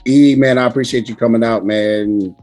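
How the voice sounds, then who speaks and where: A man hosting a casual podcast chat with a hoarse, raspy voice from screaming, talking loudly and emphatically with lots of swearing. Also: medium pitch, steady tone, normal speed, soft background music. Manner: loud, profane, emphatic. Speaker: podcast host.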